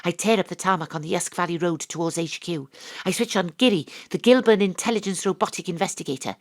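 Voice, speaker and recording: Welsh female detective played by a woman, wry, blunt, lowish voice, first-person audio drama narration. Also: fast talker, close to an everyday voice. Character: wry, brash, independent-minded female investigator narrating her case.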